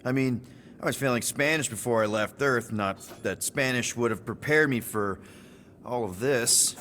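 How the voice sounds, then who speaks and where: An actor playing an easygoing, friendly spaceship crew leader in a video game, speaking in casual, rambling slang. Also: male voice, mid-range voice, normal speed, fairly bright tone, slight background noise, natural voice. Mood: sincere, friendly.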